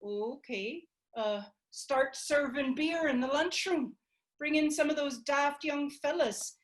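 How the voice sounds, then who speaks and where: A woman doing a chirpy, high young helper girl. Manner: chirpy, quick, blunt. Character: eager young female helper, lively.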